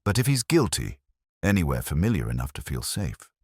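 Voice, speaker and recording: Very deep, unhurried inspector, as a male narrator puts him on, wry and clipped, dry close sound. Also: big pitch swings, a little mellow, husky, dry recording, exaggerated voice. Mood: brisk.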